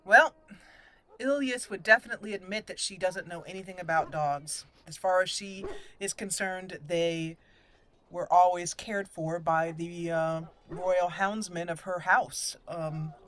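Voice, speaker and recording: A woman's voice: fairly low pitch, measured pace, a bit bright. A woman hosting a gaming channel, chatting casually and animatedly as she plays and thinks aloud. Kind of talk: casual game commentary.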